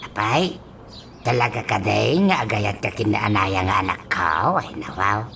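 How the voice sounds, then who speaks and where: An actor with an Ilocano accent playing a fretful, fumbling older village father in a radio drama, halting and stammering. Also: fairly low pitch, vocal fry, sharp tone, slight background noise, heavily acted. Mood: earnest, uneasy. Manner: halting, stammering, full of exclamations and a repeated catchphrase. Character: fretful, fumbling old village father with a repeated verbal tic.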